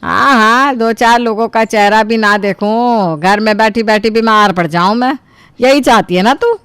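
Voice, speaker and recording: A woman playing a middle-aged, self-pitying, sarcastic mother-in-law in an animated story, scolding and complaining dramatically. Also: a little high, normal speed, clear, resonant tone, no echo, close to an everyday voice. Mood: aggrieved, sarcastic. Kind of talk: animated story character dialogue.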